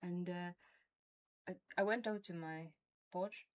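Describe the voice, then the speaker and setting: A woman's voice: on the low side, steady tone, slow. Rambling Norwegian woman streamer. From Norway. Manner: rambling and chatty, laughs often. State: has a toothache. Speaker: game streamer. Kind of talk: casual chat while streaming a game.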